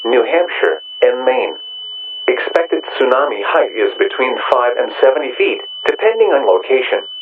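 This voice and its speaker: A man playing a grave, authoritative emergency warning announcer, reading a scripted alert in a formal, measured way. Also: medium pitch, phone-quality sound, natural voice. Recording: noisy radio alert audio with hum.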